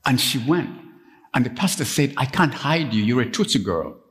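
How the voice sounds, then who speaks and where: A middle-aged man from Uganda preaching a sermon as pastor, telling stories and jumping up to high, emphatic peaks when stirred. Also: sing-song, moderate pace, fairly bright tone. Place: church. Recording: long reverb of a church.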